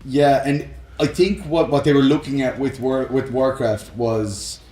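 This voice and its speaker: A man's voice: mid-range voice, steady tone, a little slow, mellow. Man chatting casually in a roomy space. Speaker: co-host of a film and games discussion show. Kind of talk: casual banter and opinionated chat. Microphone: close microphone on a stand.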